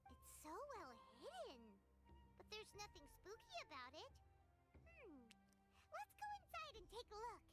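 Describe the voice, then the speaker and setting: A woman's voice: very high voice, huge pitch swings, a little slow, exaggerated voice. A woman voicing a tiny, chirpy female companion who talks about herself in the third person. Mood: curious. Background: game music.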